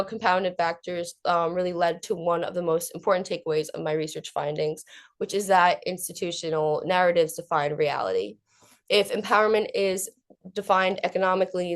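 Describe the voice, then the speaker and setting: A young woman student giving an academic conference presentation of research in an earnest, informal way. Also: fairly low pitch. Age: young adult.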